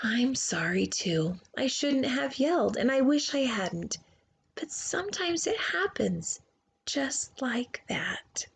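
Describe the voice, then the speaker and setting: A woman voicing an exasperated but loving storybook mother, with drawn-out, pleading emphasis. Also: high-pitched, very animated, creaky, husky, full character voice. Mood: remorseful, tender.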